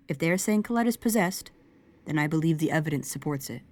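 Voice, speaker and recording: A woman playing a logical, formal investigator in an audio drama, talking in deliberate technical language. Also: deep voice, expressive, a little mellow. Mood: analytical. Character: analytical, formal female investigator, measured and logical.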